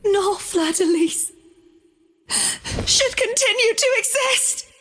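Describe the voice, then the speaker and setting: A woman's voice: very high-pitched, expressive, slow pace, a bit bright, full character voice. An actress playing a desperate young woman in a fantasy game, crying out and pleading. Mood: anguished.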